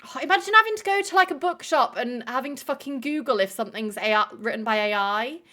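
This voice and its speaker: A woman's voice: on the high side, animated, quick pace, sharp tone. A woman hosting a live stream, chatting casually with viewers, filling her talk with "like" and swearing freely. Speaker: livestream host.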